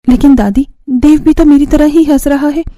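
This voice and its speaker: Woman voicing a high, plaintive young daughter. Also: steady tone, normal speed, dark tone, lightly acted. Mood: pleading, aggrieved. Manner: plaintive, protesting. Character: aggrieved, pleading twenty-year-old daughter. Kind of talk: Hindi family cartoon voice acting.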